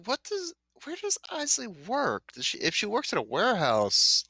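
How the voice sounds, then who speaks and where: A man's voice: high-pitched, wildly swooping pitch, moderate pace, sharp tone, breathy edge, no echo, clean studio sound. A man chatting on a fanfiction stream, hesitant and reasoning aloud in casual banter. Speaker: guest co-host.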